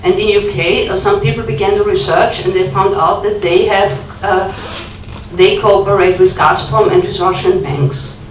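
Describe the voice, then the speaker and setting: A woman in the audience giving a long earnest comment, halting with many ums. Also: mid-range voice. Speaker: audience member. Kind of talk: conference Q&A comment. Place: conference hall. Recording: echoey hall, distant mic, faint noise.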